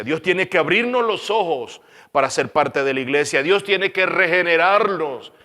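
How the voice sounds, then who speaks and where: A Venezuelan man with a Caracas accent preaches in a slightly higher voice, earnest and insistent. He repeats phrases for weight and lets line endings sink quiet. A long hall reverb trails each word. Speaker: pastor. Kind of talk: sermon.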